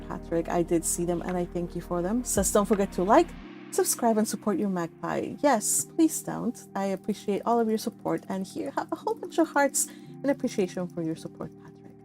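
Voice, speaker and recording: A woman's voice: medium pitch, animated, normal speed, a little mellow. Effusive woman chatting on a livestream, music behind. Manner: effusive, affectionate with viewers. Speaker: live stream host reading and answering chat. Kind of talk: casual livestream chat.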